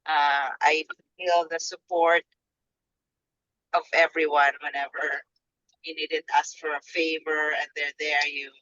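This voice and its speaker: A woman, the guest's wife, speaking softly and hesitantly with many fillers over a phone call. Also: deep voice, level tone, a little slow, no echo. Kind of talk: casual chat. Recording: dull lo-fi call audio.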